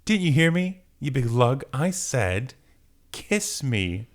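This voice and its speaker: A man's voice: medium pitch, sing-song, slow. A man voicing a young comic-book superheroine, affectionate and fiery, with melodramatic delivery. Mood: pleading affection. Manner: melodramatic, exclaiming.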